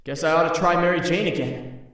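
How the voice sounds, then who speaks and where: Guy doing anxious young superhero for a comic dub, self-doubting, kinda high voice, far from the mic. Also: fairly quick, fairly bright tone, close to an everyday voice. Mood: pensive, uneasy. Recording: very echoey room, distant from mic.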